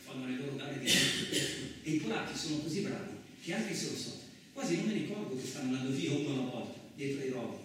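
An actor playing a frightened, injured farm labourer, telling his story in the first person as a halting, repetitive theatrical radio monologue. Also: medium pitch, lively intonation, slight background noise, natural voice. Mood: hushed, fearful. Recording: very echoey, distant, loud music behind. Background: music.

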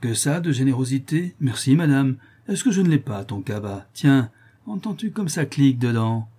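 A man's voice: medium pitch, animated, moderate pace, dark tone, husky, voice pushed up. A man voicing a mocking, gleeful young street boy who taunts and jeers like a theatre heckler in a storybook reading.

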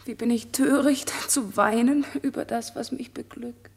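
An actress playing an innocent, lovestruck young maiden in a German radio drama, soft and earnest with tender emotion. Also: female voice, on the high side, steady tone, unhurried, slightly rough. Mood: overcome with love.

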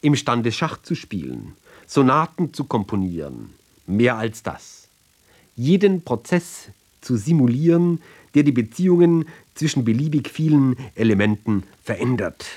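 A man giving a sober, measured literary reading for radio, phrase by phrase with deliberate pauses. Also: mid-range voice, big pitch swings, darkish tone. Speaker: reader of literary ballads.

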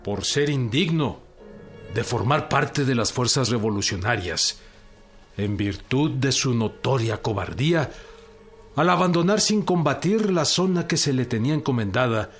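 A man's voice: medium pitch, animated, unhurried, faint music behind, close to an everyday voice. A man playing a stern figure formally reading a military decree.

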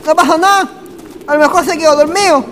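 A man's voice: very high-pitched, fast talker, fairly bright tone. A man playing an eager, polite Chilean country traveller in a radio drama, with colloquial, insistent speech. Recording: noisy ambient radio drama recording.

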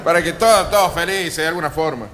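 A man's voice: a little high, quick pace, a bit bright. An Argentine man hosting a radio show, bantering about politics in a joking, mock-serious way. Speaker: radio host. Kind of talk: comic political banter with co-hosts. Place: live radio broadcast from a bar.

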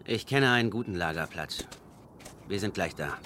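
An actor playing a guarded, grave Comanche-raised western scout in a German radio play. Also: male voice, low-pitched, lively intonation, normal speed, pushed and bright, slight background noise. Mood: wary, quiet. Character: taciturn young Comanche-raised mixed-blood man, proud, wary western scout.

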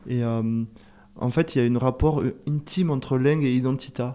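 A man in a casual radio discussion, talking in a hesitant, halting way with many fillers. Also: mid-range voice, mellow. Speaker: radio show guest.

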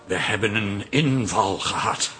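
A man's voice: fairly low pitch, a little slow, pushed and bright. An actor in a Dutch radio comedy playing a wry family man who mocks the others with sarcasm. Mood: sarcastic. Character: sardonic, dryly mocking family man.

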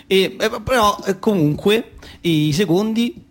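An excitable, naive little boy in a radio theatre monologue. He has a colloquial Roman accent and a raised pitch. He rambles, stumbles and corrects himself as he eagerly explains. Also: slow pace, a little hiss or hum. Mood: rambling, muddled.

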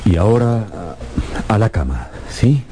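A man's voice: fairly low pitch, very animated, takes it slowly, background noise, full character voice. A man playing a worried, caring middle-aged father with a concerned, paternal manner in a radio drama. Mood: reassuring.

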